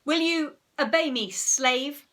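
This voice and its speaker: A woman's voice: high-pitched, very animated, takes it slowly, sharp tone, pitched above the performer's own voice, full character voice. A woman voicing a mischievous, boastful young boy who likes giving orders in a children's storybook. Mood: commanding. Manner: boastful, commanding. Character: mischievous, bossy young boy, gleeful schemer.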